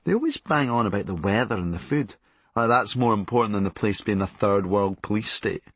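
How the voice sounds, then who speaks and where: A man's voice: fairly low pitch, expressive, a little fast, husky, no room sound. A Scottish man narrating a comic memoir in a sardonic, darkly comic and blunt manner. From Scotland. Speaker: comedian narrating a memoir audiobook.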